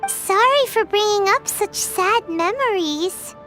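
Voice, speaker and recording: A woman voicing a tiny childlike fairy companion in an animated video game, perky, blunt and cutesy. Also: very high-pitched, takes it slowly, exaggerated voice. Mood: sympathetic, wistful. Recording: music playing under the voice.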